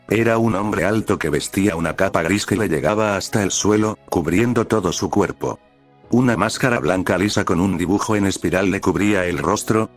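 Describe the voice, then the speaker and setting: A man with a very low voice narrates a scripted story in audiobook style. He reads as the narrator of a piece of fan fiction. Soft music plays underneath his voice. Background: background music.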